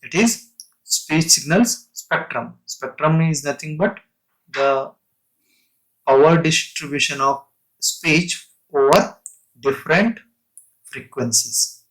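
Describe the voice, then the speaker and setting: A man lecturing on technical material in a halting, explanatory way, breaking sentences into short phrases and restating terms. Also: a little high, fairly bright tone, rough recording.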